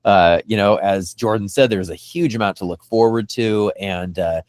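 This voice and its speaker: A man hosting a casual podcast discussion, talking enthusiastically in a halting way and often repeating phrases. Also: fairly low pitch, a little mellow, no echo. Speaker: podcast host.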